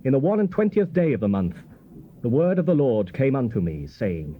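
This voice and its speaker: An actor playing a stern, exhorting Old Testament prophet, declaiming scripture in grave, emphatic phrases. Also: male voice, medium pitch, sing-song, moderate pace, breathy edge. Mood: solemn. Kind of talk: dramatized King James scripture reading. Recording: muffled, noisy old cassette tape.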